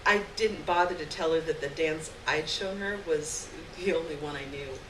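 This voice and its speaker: A woman giving an expressive dramatic reading of a literary short story live on stage, acting out the dialogue. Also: sits low, moderate pace. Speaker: story reader. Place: live stage event, recorded from a distance. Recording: roomy distant live recording, clear background noise.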